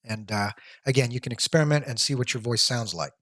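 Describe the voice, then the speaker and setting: A man giving a plain, step-by-step voice-over walkthrough of headset software, now and then dropping an "uh". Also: medium pitch, fairly quick, no echo, clean studio sound. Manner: plain step-by-step explaining, occasional "uh" fillers. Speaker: tech product reviewer.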